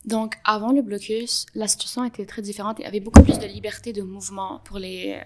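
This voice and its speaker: A young adult woman from Montreal with a Quebec French accent, answering interview questions in an earnest, explanatory way with frequent pauses. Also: mid-range voice, little pitch movement, very noisy. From Montreal, Canada. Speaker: podcast guest.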